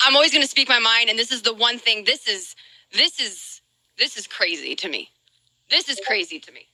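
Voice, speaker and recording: A woman with a high, very sharp, forceful voice talks casually to her viewers. She is emphatic and opinionated, repeating phrases, and the sound is dry with no room around it. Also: animated, normal speed, no room sound, rough recording. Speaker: Twitch streamer.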